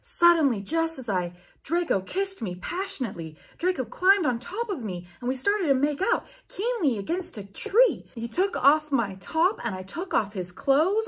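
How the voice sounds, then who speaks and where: A woman's voice: high-pitched, a bit bright. A woman in her twenties reading fanfiction aloud at home, with theatrical, incredulous, sweary comic commentary. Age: 20s. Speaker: YouTube host. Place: small home room with bookshelves, indoors.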